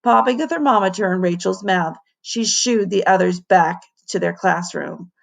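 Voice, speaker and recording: A woman teacher reading a children's story aloud in an expressive narration, with dramatic emphasis on key words. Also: on the low side, moderate pace, gravelly.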